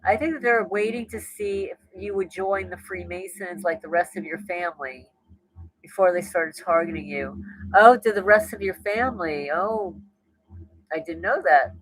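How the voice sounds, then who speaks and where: A woman's voice: on the low side, moderate pace, dry recording, poor audio. A woman with a New Jersey accent hosting a casual livestream, reading and answering viewer comments as she goes. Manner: chatty, thinks aloud, frequent restarts and laughs. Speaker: livestream host.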